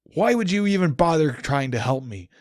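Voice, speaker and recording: A man's voice: on the high side, big pitch swings, normal speed, darkish tone, dry recording, studio quality, pitched above the performer's own voice. A man voicing a bitter, tormented immortal man in an audiobook, roaring with anguish and confrontation. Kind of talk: dramatic audiobook character acting.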